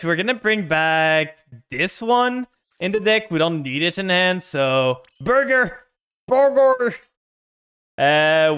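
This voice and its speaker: A man's voice: high voice, sharp tone, clean tone. A man with a French-Canadian accent, streaming a card game and talking his moves through with chat. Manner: thinks aloud, narrating each play to chat. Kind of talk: casual game stream commentary.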